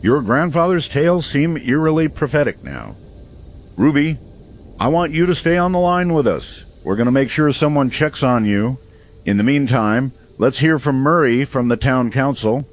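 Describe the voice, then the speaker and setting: An actor playing a community radio host during an emergency in an audio drama, measured, earnest and reassuring. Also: male voice, medium pitch, lively intonation, lightly acted. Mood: concerned, composed. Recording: dull lo-fi radio sound, faint noise.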